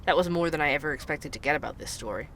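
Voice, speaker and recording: An actor playing a male podcast host who investigates cold cases, speaking in a calm, measured, explanatory way. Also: a little high, a little hiss or hum.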